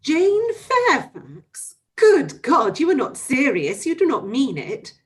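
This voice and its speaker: A woman reading an audiobook, voicing a clever, lively young gentlewoman who speaks in an earnest, pressing way. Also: fairly high pitch, normal speed. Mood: shocked.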